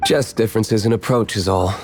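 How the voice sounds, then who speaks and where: Man as a low-voiced, brooding tavern owner. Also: a little fast, lightly acted. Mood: disdainful. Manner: dry, terse, composed. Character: cool, brooding young tavern owner, guarded and curt. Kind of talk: anime video game voice acting. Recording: faint music behind.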